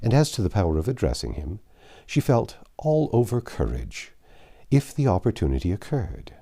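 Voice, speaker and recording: A man's voice: lowish voice, wildly swooping pitch, moderate pace, gravelly, warm tone, husky. A man reading a classic novel aloud as an audiobook narrator, in a scripted storytelling style.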